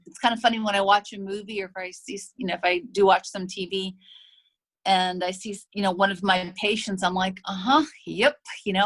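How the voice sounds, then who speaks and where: A woman chiropractor presents to a group in an easy, conversational way. She acts out what her patients say and often stops to ask "make sense?" Her pitch sits in the middle range for a woman. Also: a bit bright. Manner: acts out patients' remarks in quotes. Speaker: chiropractor and health practice owner. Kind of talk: presentation to an association.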